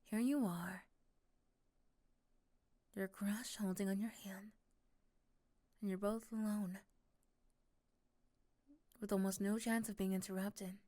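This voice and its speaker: Woman narrator, medium pitch, soft delivery slipping into whispers, scripted ASMR romance, close dry recording. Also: fairly even intonation, slow pace, long pauses, dry recording. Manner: soft, often dropping to a whisper.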